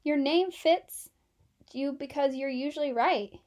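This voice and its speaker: A woman's voice: high-pitched, unhurried, a little mellow. A woman voicing eager, oblivious young schoolchildren in a children's storybook, with a guileless manner. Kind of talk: children's storybook read-aloud.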